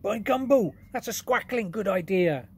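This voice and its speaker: A man voicing a big, gentle male giant who mangles his grammar and invents words, in a storybook reading. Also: lively intonation, normal speed, gravelly, slight background noise. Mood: cheerful. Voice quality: booming, mangles words playfully. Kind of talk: audiobook character voice.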